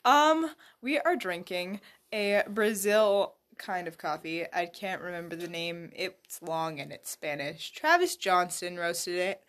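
A woman's voice: on the low side, lively intonation, measured pace, hardly any pauses, fairly bright tone. A woman co-hosting a casual podcast, bubbly and joking, laughing easily as she chats. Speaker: podcast co-host. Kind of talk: casual chat.

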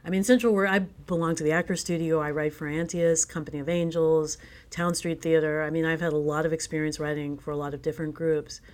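Low-pitched woman, earnest interview guest. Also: level tone, fast, darkish tone. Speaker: guest, playwright. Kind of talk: conversational interview about own creative work.

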